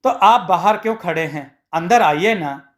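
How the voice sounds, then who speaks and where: A gullible village woman from a moral tale, acted by a man in a high voice. She sounds respectful and eager, wide-eyed before holy men. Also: measured pace. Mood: curious, respectful.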